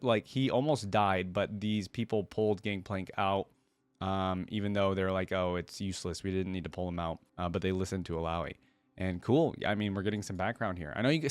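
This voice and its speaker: A man's voice: fairly low pitch, no room sound. A man hosting a reaction video, reading a story aloud and commenting casually as he goes.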